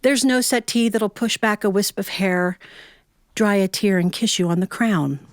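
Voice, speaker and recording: A middle-aged or older American woman telling a personal family story in a reflective, folksy way, with expressive phrasing and conversational asides. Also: medium pitch, moderate pace, dry recording, studio quality.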